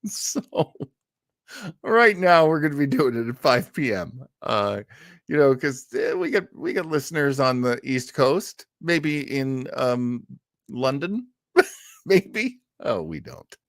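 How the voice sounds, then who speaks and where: A man's voice: mid-range voice, very animated, slow, fairly bright tone, no echo. Casual, self-deprecating man hosting, dry sound. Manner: self-deprecating, rambling, easily amused. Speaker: host of an old-time radio livestream. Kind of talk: casual, chatty livestream talk.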